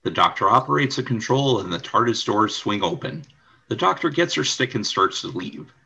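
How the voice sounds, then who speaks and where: A man's voice: on the low side, lively intonation, moderate pace, creaky, sharp tone, breathy edge, dull audio. A man reading scripted scene narration for a radio play. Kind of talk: scripted narration of stage directions.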